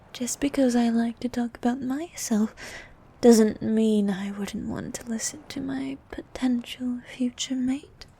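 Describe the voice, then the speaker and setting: Slow, breathy, bossy sea queen played by a woman. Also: fairly high pitch. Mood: coaxing, flirtatious. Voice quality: soft, breathy, often half-whispered. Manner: regal, teasing, slow and coaxing. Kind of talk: ASMR roleplay.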